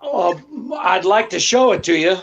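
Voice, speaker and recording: A man playing a wry, unruffled married gentleman detective in a 1940s radio drama, with a dry delivery. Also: sits high, expressive, unhurried, heavily acted. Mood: wry, calm. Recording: dull, noisy amateur recording.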